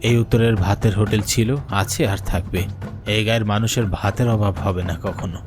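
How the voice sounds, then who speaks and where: A man's voice: fairly low pitch, steady tone, normal speed, over music, close to an everyday voice. A man voicing a kindly, proud village innkeeper in a fairy-tale cartoon, speaking in an earnest, heartfelt way. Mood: proud, explaining. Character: kindly village uncle, proud rice-hotel keeper with magic pot.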